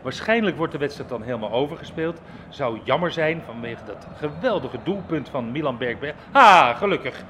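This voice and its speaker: A man playing an excitable football reporter giving a live radio report. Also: fairly high pitch, normal speed, slight background noise. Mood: keyed up.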